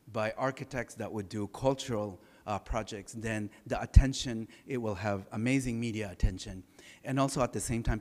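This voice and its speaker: A middle-aged man with a baritone voice giving a relaxed, anecdotal architecture lecture, often pausing on filler sounds. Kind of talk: conversational design lecture. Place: large lecture hall. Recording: reverberant lecture hall.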